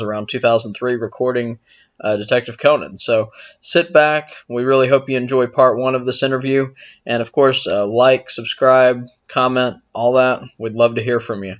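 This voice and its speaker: A man speaking as a podcast host in a casual, somewhat halting conversational way. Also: mid-range voice, highs cut off. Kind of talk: casual podcast intro and interview talk.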